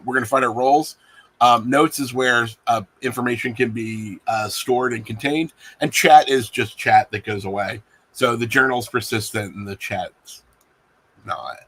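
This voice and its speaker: A man acting as game master, improvising narration for a tabletop game in a halting way with many pauses. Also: mid-range voice, steady tone, fairly bright tone, breathy edge, poor audio.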